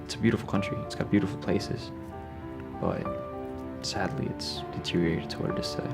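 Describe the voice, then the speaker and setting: A young man who grew up in Venezuela, talking as a student in a documentary interview in a relaxed, conversational way. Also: low-pitched, brisk, gravelly. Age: young adult. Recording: faint music underneath.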